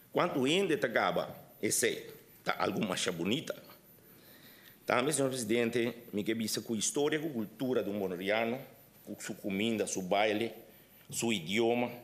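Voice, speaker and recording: A man giving a formal ceremonial speech to the council, emphatic, his voice rising sharply on key points. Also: medium pitch.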